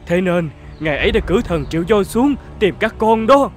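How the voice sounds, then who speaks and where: A man playing a kindly old earth god in an animated fairy tale, speaking solemnly. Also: high-pitched, moderate pace, soft background music.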